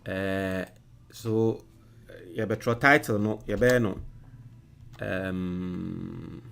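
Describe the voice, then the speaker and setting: A man from Ghana with a Ghanaian English accent who hosts a political show on social media. He reads viewer comments forcefully, then dictates an email slowly while he types. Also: sits low, gravelly. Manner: loud and emphatic when reading comments, quiet and halting while typing.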